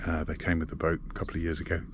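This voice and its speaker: A man's voice: extremely low pitch, flat delivery, racing. A man talking casually to viewers as a vlogger, in an informal, chatty narration. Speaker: sailing vlogger. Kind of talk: casual vlog talk to camera. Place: aboard a sailboat in a marina. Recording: clear background ambient noise.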